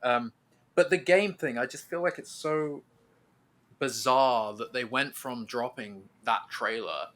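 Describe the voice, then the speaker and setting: Male podcast host, bright forceful voice at medium pitch, informal and opinionated. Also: a little slow. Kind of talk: casual podcast chat.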